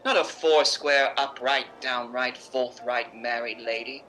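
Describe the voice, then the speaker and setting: A man's voice: medium pitch, steady tone, measured pace, sharp tone, low-quality recording. A man playing an imperious middle-aged female stage diva in a radio drama, wry and declamatory. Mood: insistent. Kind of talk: recreated 1950s radio drama acting.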